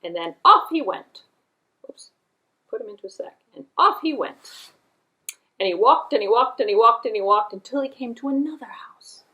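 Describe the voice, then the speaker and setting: A woman's voice: a little high, animated, normal speed, lo-fi sound. A woman storyteller narrating a puppet show for children, with sing-song, repetitive emphasis.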